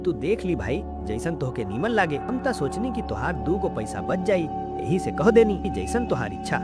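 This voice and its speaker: Man playing a high-voiced, wheedling village grocer. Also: very animated, normal speed, over loud music. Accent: Bhojpuri. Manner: persuasive, confiding. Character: wheedling, pragmatic male village grocer selling adulterated flour. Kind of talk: animated moral story dialogue.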